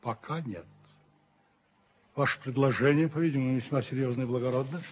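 A man playing a stern, dignified middle-aged father in a radio drama, speaking in a measured, formal way. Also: mid-range voice, husky, close to an everyday voice. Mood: stern, deliberate. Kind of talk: Soviet radio drama acting. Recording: dull, noisy vintage radio-play tape.

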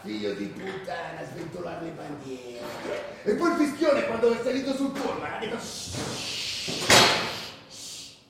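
An actor playing a rowdy, foul-mouthed football hooligan who tells his stories in a coarse, animated way in a radio drama. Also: fairly high pitch, unhurried, creaky, very noisy, exaggerated voice. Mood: amused. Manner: coarse, animated, shouty storytelling. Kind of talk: radio drama monologue, spoken like street anecdote. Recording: roomy, distant echoing radio recording.

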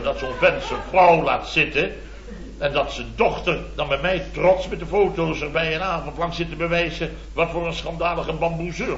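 An actor playing a blustering, indignant middle-aged married contractor, telling a rambling, exasperated comic anecdote in a radio serial. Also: male voice, a little high, fairly bright tone, a little hiss or hum. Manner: exasperated, rambling, mimics others mockingly. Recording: muffled old radio, echoey, audience laughter.

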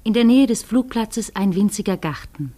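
A woman as a composed narrator in a radio play, painting a scene in a measured, descriptive way. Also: mid-range voice, expressive, warm tone, natural voice.

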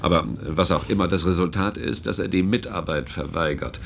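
An actor playing a measured, dry, commanding senior official in a radio drama, with a gravelly voice. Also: male voice, deep voice, a little hiss or hum.

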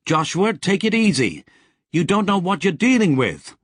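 A man's voice: high-pitched, big pitch swings, normal speed, husky, dry recording, studio quality, pitched above the performer's own voice, full character voice. A man voicing a blunt, irritable, tough woman who snaps and growls. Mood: urgent. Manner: snappish, growling.

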